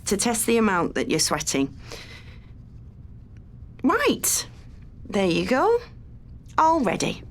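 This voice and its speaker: A female research assistant in an audio drama. She is brisk and businesslike with a mid-pitched voice, and reassures in a practical, soothing way. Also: wildly swooping pitch, exaggerated voice. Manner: professional, matter-of-fact, soothing.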